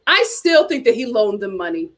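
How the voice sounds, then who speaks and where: A woman's voice: mid-range voice, very animated, moderate pace, fairly bright tone. A woman hosting a casual reality TV chat, reading viewer comments aloud with dramatic exclamations.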